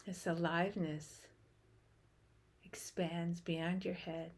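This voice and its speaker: Very slow, hushed woman guiding meditation. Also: lowish voice, little pitch movement. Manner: gentle, hushed, with long pauses between short phrases. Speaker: meditation guide. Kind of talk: guided meditation.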